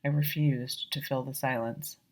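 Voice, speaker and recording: A woman reading her own short story as measured, reflective literary narration, lightly acting out the dialogue. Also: extremely low pitch, fairly even intonation, a little mellow.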